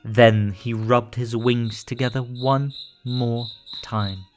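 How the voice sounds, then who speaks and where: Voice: medium pitch, steady tone, slow, a little mellow. A narrator reading a children's story aloud from a script, in a storybook style. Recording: music bed under the voice.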